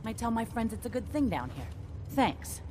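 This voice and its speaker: A woman's voice: fairly high pitch, sing-song, normal speed. A woman voicing a wary, blunt settler in a video game, with a casual, grudging way of talking. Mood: grudging gratitude. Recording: clear background machinery noise.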